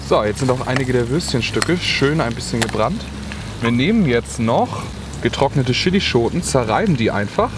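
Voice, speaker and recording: Young adult male outdoor vlogger, relaxed chatty delivery, camera mic outdoors with clear wind noise. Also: medium pitch, normal speed. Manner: relaxed, chatty, many "ja" fillers. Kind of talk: casual cooking vlog narration. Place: outdoors in a windy wood in rain. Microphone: camera mic at arm's length. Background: wind and rain.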